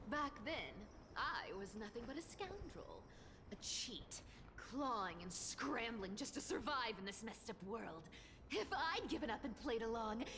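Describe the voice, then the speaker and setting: High-pitched, cocky, mischievous young thief. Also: female voice. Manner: taunting, theatrical. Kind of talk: video game voice acting. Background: game music.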